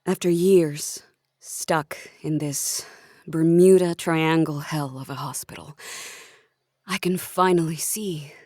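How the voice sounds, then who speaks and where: A woman's voice: deep voice, steady tone, slow, a little mellow, no room sound, studio quality. A woman playing a self-important, conspiratorial hospital patient who acts the investigator, confiding dramatically in the listener in a comic audio monologue. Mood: brooding, conspiratorial. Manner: dramatic, breathy asides to the listener.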